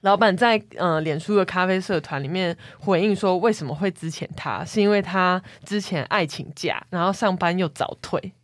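A woman's voice: on the low side, moderate pace, hardly any pauses, no echo. A woman with a Taiwanese Mandarin accent co-hosting a podcast, chatting casually and retelling stories. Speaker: podcast co-host. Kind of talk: casual chat and retelling online workplace stories.

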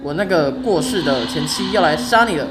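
A woman's voice: low-pitched, lively intonation, a little fast, very noisy. A teenage female student playing an anxious, devoted husband in an amateur radio drama. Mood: urgent. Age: teens.

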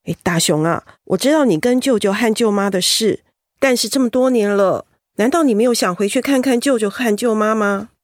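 An actress playing a reasonable middle-aged cousin, a gently persuading peacemaker, in a radio drama. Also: female voice, a little high, a little fast, no echo, clean studio sound, natural voice. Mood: earnest persuading.

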